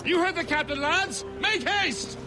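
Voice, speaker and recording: A man playing an excitable, rough ship's first mate bellowing in a video game. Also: very high voice, unhurried, music in the background, exaggerated voice. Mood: urgent bellowing.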